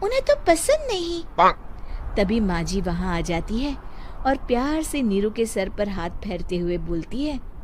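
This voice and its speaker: A woman narrates a scripted family tale as storyteller. Her delivery is slow and measured, with faint noise in the background. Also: medium pitch, lively intonation, darkish tone.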